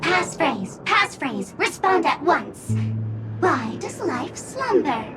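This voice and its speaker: A tiny fluffy video game critter with a very high-pitched, lively voice. It is bossy and insistent, barking out clipped demands at a measured pace. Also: female voice, over music, heavily acted. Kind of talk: video game character acting.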